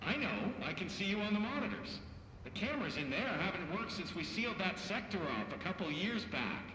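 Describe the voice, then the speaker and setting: A bright, echoing intercom carries a nervous game-character staffer. He rambles awkwardly and fumbles for words, excitable and chatty, in a fairly high voice. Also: fairly quick, full character voice. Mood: anxious. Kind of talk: naturalistic game cutscene dialogue. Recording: echoey intercom in big hall, faint noise.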